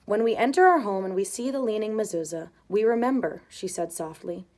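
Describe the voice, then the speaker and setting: A woman reading a children's picture book aloud, voicing the characters' lines with lively expression. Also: medium pitch, fairly quick. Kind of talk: storybook read-aloud.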